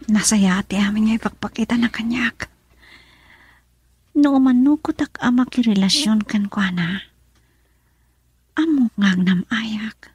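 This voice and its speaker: A woman acting a troubled, secretive young woman in a radio drama, breathy and hesitant. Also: a little high, unhurried, long pauses, dry recording.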